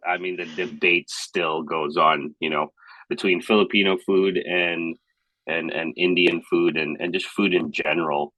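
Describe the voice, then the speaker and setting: A man's voice: low voice, steady tone, unhurried, sharp tone, no room sound, rough recording. A man in his thirties from Hong Kong, co-hosting a casual podcast chat in a rambling, hesitant, thoughtful way. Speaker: podcast co-host.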